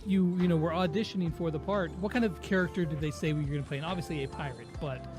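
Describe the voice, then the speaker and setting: A woman's voice: sits low, fast talker. Casual woman interviewer over music. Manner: relays fan questions informally. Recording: music behind the talk.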